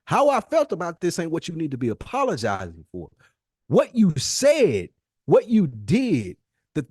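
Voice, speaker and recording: A man's voice: fairly high pitch, darkish tone, no echo, clean studio sound. A man co-hosting a podcast in casual debate, insistent and slangy, repeating his points for emphasis. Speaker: podcast co-host.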